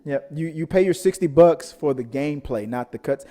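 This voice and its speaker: A man's voice: fairly high pitch, fairly quick, mellow. A man hosting a casual chat show about audience polls, rambling and often repeating his words. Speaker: host of a panel show. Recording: long echoey hall reverb.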